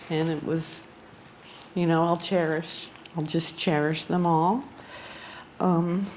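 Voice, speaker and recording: Woman doing a eulogy in a barn, slow and a bit low, faint noise behind. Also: darkish tone. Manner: slow, halting, with frequent ums. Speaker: eulogy speaker. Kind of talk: reading a memorial tribute. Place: outdoor barn gathering.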